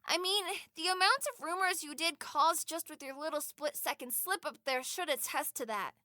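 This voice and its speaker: Woman voicing a very high-pitched, bright, earnest kid. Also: moderate pace, no room sound, clean studio sound. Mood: thoughtful, earnest. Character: bright, kind, wise-beyond-years kid from another world. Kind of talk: scripted audio drama acting.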